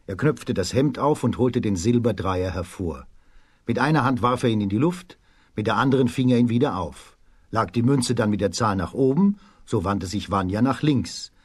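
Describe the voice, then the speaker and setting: A man narrating a children's radio play as a storyteller, in a measured storybook delivery. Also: lowish voice, expressive, a little mellow, slightly rough, no room sound, studio quality.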